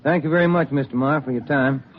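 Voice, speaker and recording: A male actor plays a plain-spoken detective partner in an old radio drama. He is courteous but pressing, medium-pitched, heard through a tinny, band-limited recording. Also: quick pace, close to an everyday voice. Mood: polite. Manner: direct, plain, questioning. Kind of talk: 1950s radio police drama acting.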